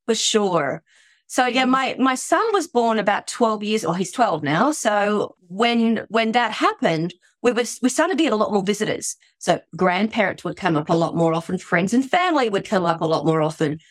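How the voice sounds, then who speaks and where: A woman's voice: mid-range voice, lively intonation, moderate pace, no room sound. A middle-aged Australian woman chatting as a guest on a podcast. She talks freely, restarting her sentences and filling with "you know". The recording is dry and close. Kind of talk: conversational interview.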